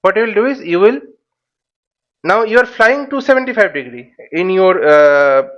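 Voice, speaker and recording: A man giving an explanatory tutorial as an instructor, thinking aloud in a halting, repetitive way and often checking in with short tags. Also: high-pitched, lively intonation, a bit bright, highs cut off. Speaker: flight simulation instructor.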